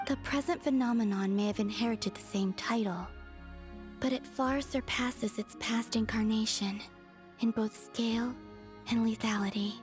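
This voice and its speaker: A serene, enigmatic moon maiden voiced by a woman speaks in a soft, airy, fairly high voice. Her delivery is formal, measured and aloof, as in game dialogue, over faint music. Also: fairly even intonation, close to an everyday voice. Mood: composed, coolly curious. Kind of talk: English video game dialogue acting. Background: faint background music.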